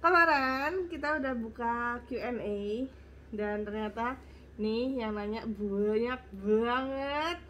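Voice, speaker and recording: A young woman talks casually through viewer questions in a stuffy, congested voice. Her voice sits fairly high and she sounds slightly out of breath. Faint household ambience hums under her. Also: takes it slowly, a bit bright, a little hiss or hum. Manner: chatty, reads questions out. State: congested, nasal voice; short of breath. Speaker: vlogger. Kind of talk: casual Q and A chat.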